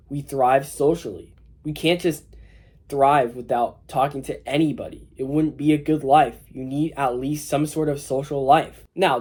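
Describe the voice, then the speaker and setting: A man's voice: on the high side, darkish tone. A young man in his late teens with a mild cold, giving casual, rambling self-improvement advice to his viewers. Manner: informal, loose and rambling, full of "you know". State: slightly sick with a mild cold. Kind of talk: casual advice vlog to camera.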